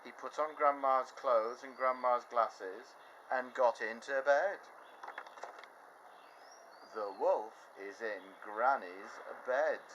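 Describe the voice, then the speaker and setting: A man reading a picture book aloud to children in an animated, expressive way, sitting outdoors in a garden with faint birds and insects behind him. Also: medium pitch, slow, highs cut off, slight background noise. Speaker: children's storyteller.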